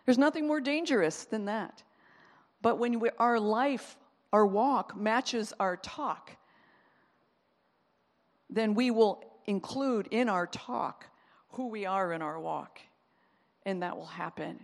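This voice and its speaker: A woman pastor giving a sermon, talking in an animated, conversational way and acting out quotes as she goes. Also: medium pitch, slow, creaky, pauses often, in a large hall.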